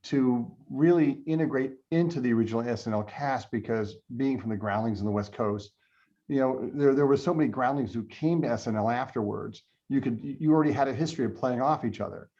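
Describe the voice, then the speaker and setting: A man's voice: medium pitch, fairly quick, a little mellow, highs cut off. A man hosting a casual panel interview in a tentative, deferential way. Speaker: host.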